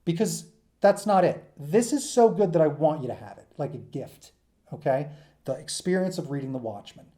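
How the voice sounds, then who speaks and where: A man's voice: a little high, lively intonation, moderate pace, mellow. A man giving an informal lecture to students in an enthusiastic, emphatic, rambling conversational way, as their teacher.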